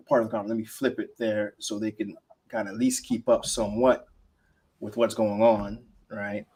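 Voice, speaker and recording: A man in his thirties hosting a live-stream interview, asking rambling questions with many restarts. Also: lowish voice. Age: 30s. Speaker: host.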